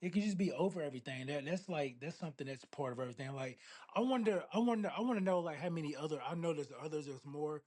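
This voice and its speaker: A man co-hosting a podcast in a loose, casual chat. His voice sits a bit higher than most men's. He jokes around, swears freely and laughs often. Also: fast, darkish tone. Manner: joking, profane, laughs often. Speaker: podcast co-host.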